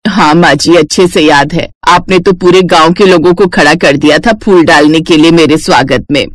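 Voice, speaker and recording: A woman acts a middle-aged mother-in-law in a cartoon story, soothing and respectful. She pitches it lower than her usual voice, in a dry, close sound. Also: moderate pace, no echo. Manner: respectful, placating.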